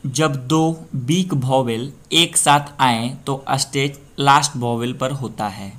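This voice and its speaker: A young man from Bihar, India, teaching a pronunciation lesson and repeating each word slowly for practice. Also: medium pitch, a bit bright. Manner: slow, repeats words drill-style. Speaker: language teacher.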